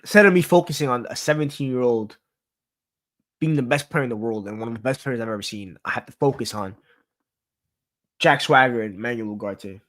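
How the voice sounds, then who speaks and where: A man's voice: mid-range voice, fairly quick, pauses often, a bit bright. A young man hosting his own sports talk show, venting in a casual, exasperated rant full of repeats and swearing.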